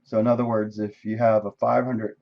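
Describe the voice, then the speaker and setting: A man reviewing his day's trades on a live stream, casual and thinking aloud, with a voice that is going hoarse. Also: fairly low pitch, flat delivery, fairly quick, highs cut off. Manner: conversational, thinking aloud, frequent "right?". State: losing his voice, coughing. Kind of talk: casual screen-share trade recap. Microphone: desk microphone.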